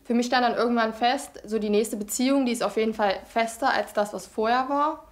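A woman's voice: a little high, fairly quick. A 29-year-old woman chatting casually in a documentary, light and self-mocking as she talks. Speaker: reality documentary participant. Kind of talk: casual chat. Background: background music.

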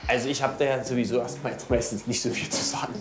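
A 27-year-old man speaking calmly and agreeably in a casual documentary chat. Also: mid-range voice, noisy background. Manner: calm, measured and agreeable. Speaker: reality documentary participant.

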